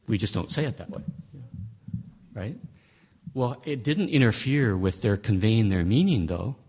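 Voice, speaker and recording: Husky, emphatic male Bible lecturer. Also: fairly low pitch, animated, measured pace, darkish tone. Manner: conversational, emphatic, with frequent restarts and rhetorical questions. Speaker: Bible teacher. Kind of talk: lecture on interpreting scripture.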